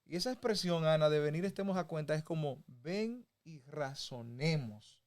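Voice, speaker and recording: A man's voice: fairly high pitch, animated, normal speed, a little mellow. A man from the Republic, teaching a Bible lesson in a folksy conversational way. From Dominican Republic. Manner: folksy, with homey examples and chuckles. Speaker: preacher.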